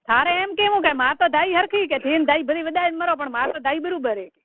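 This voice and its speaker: A woman's voice: high-pitched, a little fast. Middle-aged woman, rural Marwari accent, colloquial scolding talk, narrow phone sound, noisy line. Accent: rural Rajasthani Marwari. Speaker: caller, relative giving advice. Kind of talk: private phone conversation. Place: recorded telephone call. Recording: tinny phone line, noisy call recording.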